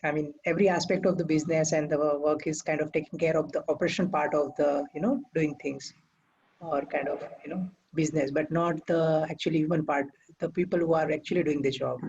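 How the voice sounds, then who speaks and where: A man from India with an Indian English accent introducing himself on a call, soft-spoken and hedging with many fillers. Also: fairly high pitch, lo-fi sound, slight background noise. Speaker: technical support. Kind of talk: casual self-introduction. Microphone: video call.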